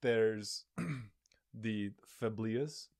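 A young man hosting a comedy podcast in a small studio, riffing with deadpan jokes and quick interjections at a desk mic. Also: lowish voice, creaky. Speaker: podcast host. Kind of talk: casual podcast chat. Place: small studio with brick backdrop. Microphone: desk mic on boom arm.

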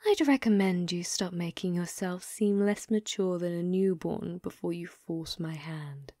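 Slow, bratty yandere captor played by a woman. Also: lowish voice, dark tone, no echo, clean studio sound. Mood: cold threatening. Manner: taunting, teasing, cooing, breathy drops to whisper. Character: young possessive yandere captor, bratty, sadistic, mockingly sweet. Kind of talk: ASMR audio roleplay.

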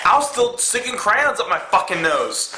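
A man's voice: sits high, sing-song, moderate pace, pushed and bright, roomy sound, a little hiss or hum. A man in his twenties giving a casual, profane movie review, blunt and exasperated. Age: 20s. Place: indoor room in front of a dark backdrop.

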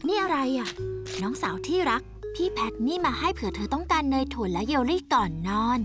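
A precocious little girl scientist in a cartoon. Her voice is high and swings freely in pitch as she lectures in formal, matter-of-fact terms, with music clearly behind her. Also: sing-song, fairly quick. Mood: chatty. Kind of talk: animated cartoon dub.

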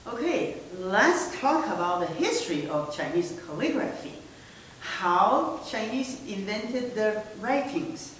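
A woman's voice: medium pitch, sing-song, slow. A woman, presenting as a museum director in a friendly, gesturing way with many fillers. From Taiwan. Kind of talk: casual gallery presentation. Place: indoor museum room. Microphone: far camera mic. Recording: echoey room, mic far off, faint hiss.

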